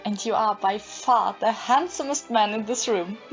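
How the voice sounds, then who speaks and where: A woman's voice: fairly high pitch, unhurried, close to an everyday voice. A woman playing a giddy, devoted young fiancée in an audio play, gushing and affectionate. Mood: adoring, giddy. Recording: muffled audio, faint music behind.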